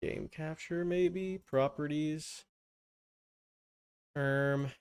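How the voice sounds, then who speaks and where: A man's voice: a little high, steady tone, drawn-out words, clear, resonant tone. A man chatting casually on a game livestream, thinking aloud and muttering to himself. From Canada. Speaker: game livestreamer using an avatar.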